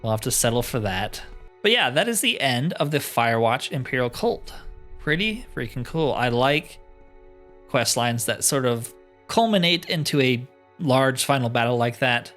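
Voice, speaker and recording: A man's voice: mid-range voice, lively intonation, normal speed, a bit bright, soft background music. A man giving casual playthrough commentary as a gaming host, thinking aloud in short, informal remarks.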